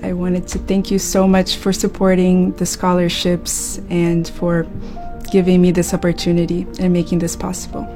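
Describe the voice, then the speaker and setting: Casting: woman from Brazil, painting student, mellow even voice. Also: fairly low pitch, normal speed, faint music behind.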